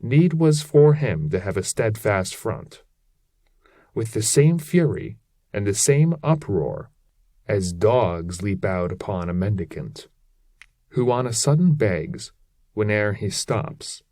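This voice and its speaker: Guy reading old epic poem out loud, slow n dramatic, mellow voice, declamatory. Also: on the low side, big pitch swings, dry recording, studio quality. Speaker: reader.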